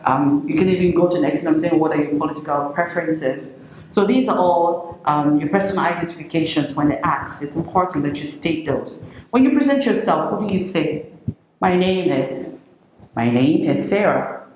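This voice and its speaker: A woman's voice: deep voice, moderate pace, a little mellow. A woman teaching an English lesson, speaking didactically, repeating phrases and posing questions to the learners. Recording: very echoey room with faint noise.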